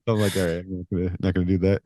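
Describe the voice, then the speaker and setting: A man hosting a podcast interview, relaxed and joking in a casual way. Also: deep voice, quick pace, dry recording, studio quality. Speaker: podcast host.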